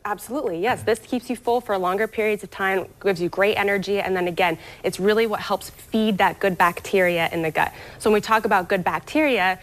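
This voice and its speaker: An eager woman gives health tips on a TV lifestyle segment. She sounds upbeat and explanatory, with a pitch that sits mid-range for a woman. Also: brisk, hardly any pauses. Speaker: gut health guest. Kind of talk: TV morning show interview. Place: TV studio.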